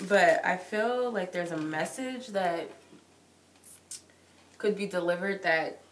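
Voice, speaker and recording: A woman's voice: lowish voice, slow. A woman with a cold and a stuffy nose, giving a casual live-streamed tarot reading in a chatty, loose way full of likes and ums. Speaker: tarot reader. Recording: echoey room with noise.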